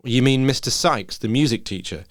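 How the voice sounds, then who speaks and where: A man's voice: mid-range voice, lively intonation, moderate pace, dry recording, clean studio sound, close to an everyday voice. A man voicing an exasperated, bewildered woman, a parent who questions insistently, in a story reading.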